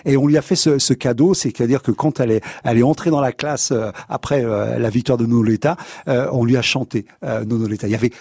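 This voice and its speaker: A man's voice: mid-range voice, steady tone, quick pace, vocal fry, darkish tone, breathy edge, dry recording, studio quality. A man from France, a writer guest on a radio show, chatting casually with many restarts and easy laughs. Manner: hesitant, many restarts and fillers; laughs easily, amused.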